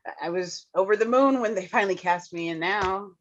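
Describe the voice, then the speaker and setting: A woman's voice: mid-range voice, brisk, close to an everyday voice. An actress playing a flustered, chatty out-of-work theater woman in a play reading, stammering and repeating herself effusively. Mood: rueful. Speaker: actor in a staged play reading. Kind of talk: naturalistic dramatic dialogue, read online. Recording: dull, lo-fi online call audio.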